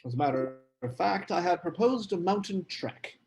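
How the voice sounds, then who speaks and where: A man's voice: high voice, expressive, moderate pace, vocal fry, over music, poor audio. A man playing a proud, earnest writer in a period literary drama table read, speaking in formal phrases. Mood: mildly insistent. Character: Geoffrey Aspen, proud, earnest male writer.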